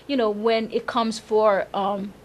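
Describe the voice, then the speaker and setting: A woman's voice: medium pitch, level tone, slow pace. A middle-aged woman with a Haitian accent, a councilmember being interviewed, talking with enthusiasm and often repeating words as she searches for them. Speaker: councilmember guest. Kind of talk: interview conversation.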